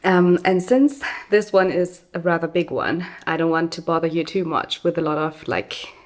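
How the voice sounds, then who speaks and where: Young woman podcast host from Germany, slightly hoarse with a cold, relaxed and chatty, somewhat low-pitched. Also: normal speed. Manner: chatty, many fillers. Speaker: podcast host and yarn dyer. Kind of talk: casual podcast chat. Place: small studio.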